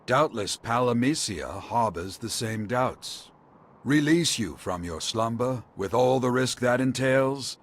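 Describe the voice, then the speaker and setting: Courtly old sage, formal and measured. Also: male voice, medium pitch, animated. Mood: grave. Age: older. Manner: formal, archaic, measured phrasing. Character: courtly, wistful old man, sage mentor to a warrior. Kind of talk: video game cutscene acting. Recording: loud music behind the voice.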